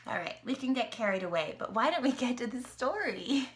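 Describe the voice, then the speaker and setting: Woman, high voice, lively pitch, children's story reading, interactive. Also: brisk, faint music behind. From Canada. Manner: animated, questioning the listener, gasping at pictures.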